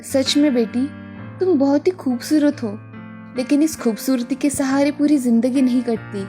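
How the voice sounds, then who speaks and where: A man's voice: very high voice, normal speed, mellow, faint music behind, pitched above the performer's own voice. A man voicing a worried middle-aged mother who scolds and frets, for a cartoon. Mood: tender. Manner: scolding, anxious.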